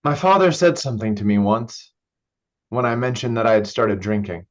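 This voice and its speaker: A man's voice: medium pitch, animated, normal speed, natural voice. Man playing troubled young drinker, muffled audio. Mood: confessional, subdued. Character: troubled young man struggling with alcohol addiction, defensive. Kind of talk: virtual staged play reading.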